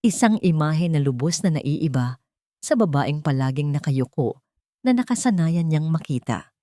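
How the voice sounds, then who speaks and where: A woman's voice: low-pitched, expressive, normal speed, mellow, dry recording, studio quality. A woman storyteller giving scripted dramatic narration of a love story.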